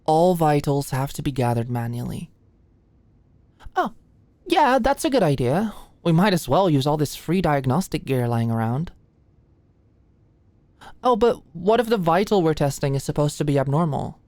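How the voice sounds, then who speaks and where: Woman playing a nervous young female medical student, lowish voice, dry intimate sound, ASMR roleplay, hesitant, stammering, endearingly flustered. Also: very animated, moderate pace, long pauses, a little mellow, no room sound. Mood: awkward, earnest. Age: young adult.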